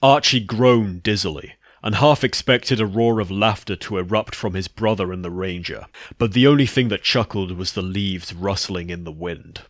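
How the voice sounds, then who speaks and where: Low-voiced man narrating, dry recording. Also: normal speed, dry recording. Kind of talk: scripted story narration.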